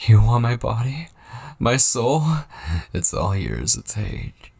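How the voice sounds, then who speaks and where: A man playing an obsessive, possessive kidnapper in an ASMR audio roleplay, seductive, menacing and pleading, coaxing in a gravelly, breathy voice that often slips into whispers. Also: medium pitch, sing-song, slow, a little mellow, no room sound, full character voice. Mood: unhinged, desperate.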